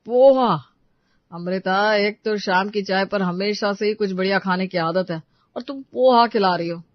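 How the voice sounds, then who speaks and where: A woman's voice: mid-range voice, unhurried, dry recording, muffled recording, close to an everyday voice. A woman playing a self-assured, stingy husband who lectures and complains in a domestic moral story. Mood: grumbling. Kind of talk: Hindi moral-story character dialogue.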